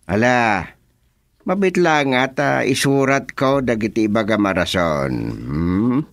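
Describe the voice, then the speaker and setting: An actor playing an officious, teasing village chairman with an Ilocano accent, questioning in a comically deliberate way in a radio comedy. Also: mid-range voice, lively intonation, no echo.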